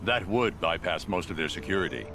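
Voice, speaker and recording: An actor playing a stern, terse superhero in a video game, with a serious manner. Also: male voice, low-pitched, expressive, fairly quick, background noise. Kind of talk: video game cutscene acting. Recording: loud music over the voice.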